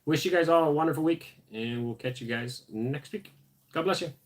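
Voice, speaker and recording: A tired man hosting a casual homestead livestream, reading viewer comments aloud and answering in a relaxed way with fillers. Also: fairly high pitch, moderate pace.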